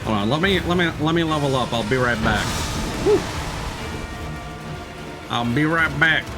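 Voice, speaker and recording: A man's voice: a little high, moderate pace. A man giving casual live commentary as he plays a game, muttering asides and reacting with short exclamations. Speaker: streamer. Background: game music.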